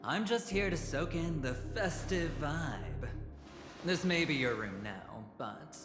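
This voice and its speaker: An actor playing a smooth, scheming young gambler in a video game, suave and theatrical, with teasing, drawn-out phrasing. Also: male voice, fairly high pitch, expressive. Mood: smug, teasing. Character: smooth, scheming young male high-stakes gambler and corporate negotiator. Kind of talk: video game cutscene voice acting. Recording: roomy reverberant sound under loud music.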